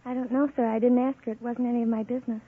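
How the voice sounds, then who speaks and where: Young woman, radio quiz contestant, matter-of-fact, brief firm answers, breathy edge, tinny vintage sound. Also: fairly high pitch, little pitch movement, racing. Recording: tinny old radio broadcast.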